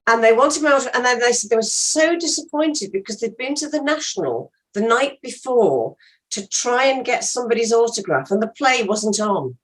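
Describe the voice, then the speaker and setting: Animated woman, fairly high voice. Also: normal speed, distant mic, low-quality recording. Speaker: actor, interview guest. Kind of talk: casual anecdotal chat.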